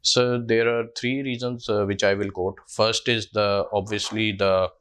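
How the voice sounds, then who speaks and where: A man's voice: lowish voice, flat delivery, measured pace, fairly bright tone, clean tone. A man in his twenties with a Pakistani English accent, answering a job interview panel hesitantly with many fillers and restarts. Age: 20s. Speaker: job candidate.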